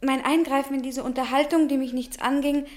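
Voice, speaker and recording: Casting: woman playing polite, earnest young lady for radio drama, careful, higher-pitched. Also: fairly even intonation, a little fast, a little mellow, natural voice. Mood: formal, careful. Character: poised, earnest young woman paying a late visit. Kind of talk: radio play acting.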